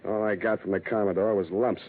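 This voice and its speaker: An American actor playing a wisecracking, hard-boiled private detective in a deadpan, sardonic 1940s radio style. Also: male voice, on the low side, fairly quick, slight background noise, lightly acted. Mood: sardonic, tough. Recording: tinny band-limited old radio broadcast, music.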